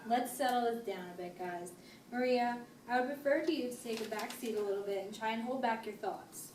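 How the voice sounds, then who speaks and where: A woman playing a patient, firm family therapist, speaking in a measured, formal way in an amateur role-play. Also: a little high, a little mellow, lightly acted. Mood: calm, directing. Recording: noisy echoey room, distant mic.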